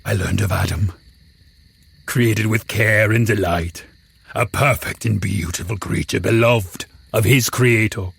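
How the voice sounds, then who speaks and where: An actor playing a lonely, eloquent man-made creature, telling his tale in a solemn, brooding audio drama voice with a gravelly, husky edge. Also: lowish voice, measured pace, fairly bright tone, exaggerated voice. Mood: brooding wonder. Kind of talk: dramatic first-person audio drama narration.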